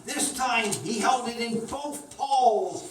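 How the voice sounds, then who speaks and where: Woman storyteller, slow expressive children's narration, echoey, noisy recording. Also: fairly high pitch. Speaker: puppet show storyteller. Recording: echoey room, distant mic, clear noise.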